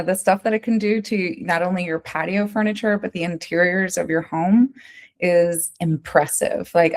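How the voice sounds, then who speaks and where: An American woman working as an interior designer, answering interview questions in a lively, conversational way and often checking in with "right?". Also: lowish voice. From United States. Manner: animated, keeps asking "right?", tells stories.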